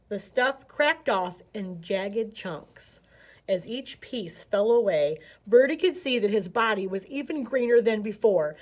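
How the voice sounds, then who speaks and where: A woman reads a storybook to children in a fairly high-pitched voice. Her delivery is expressive, with dramatic emphasis that suits a read-aloud at story time.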